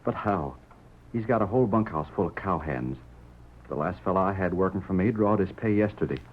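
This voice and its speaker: Radio western husband male actor: deep, husky, drawling rancher, self-doubting and folksy, thin vintage sound. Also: slight background noise, lightly acted. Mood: worried, defeated. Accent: American Western drawl. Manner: halting, folksy, defeated. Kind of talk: old-time radio western acting. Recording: narrow tinny old radio recording.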